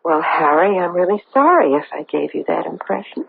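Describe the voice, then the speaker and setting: An actress playing an anxious, guarded middle-aged wife in a radio drama, earnest and hesitant. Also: female voice, low voice, lively intonation, brisk, creaky, a little hiss or hum. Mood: coolly flattering. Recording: tinny, band-limited vintage radio recording.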